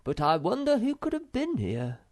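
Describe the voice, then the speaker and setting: A man voicing an earnest young male troll who leads his friends, in a raised, brighter voice. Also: mid-range voice, huge pitch swings, normal speed, pitched above the performer's own voice.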